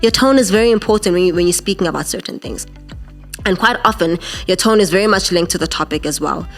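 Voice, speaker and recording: A young woman with a deep voice teaching podcasting in a masterclass, earnest and direct, often repeating words as she thinks. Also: fast talker, soft background music. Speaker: podcasting instructor.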